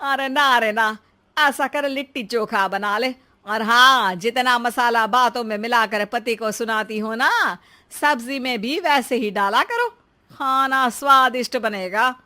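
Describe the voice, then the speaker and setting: Shrill, demanding old mother-in-law. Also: measured pace, bright, forceful tone. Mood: bossy, needling. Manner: bossy, wheedling, sarcastic. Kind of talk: acted dialogue in animated moral story.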